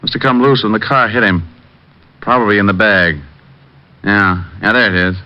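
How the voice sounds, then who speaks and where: An actor playing a tough, wry American private detective in an old-time radio drama, hard-boiled and wisecracking. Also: male voice, lowish voice, normal speed, lightly acted. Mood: brisk, teasing. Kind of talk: old-time radio detective acting and first-person narration. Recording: muffled vintage radio broadcast recording.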